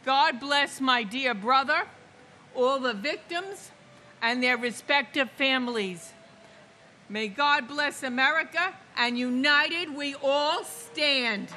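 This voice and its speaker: Solemn woman in her sixties, high bright voice, slow and emphatic memorial reader. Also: slight background noise. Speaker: victim's family member reading names. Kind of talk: memorial ceremony name reading and speech.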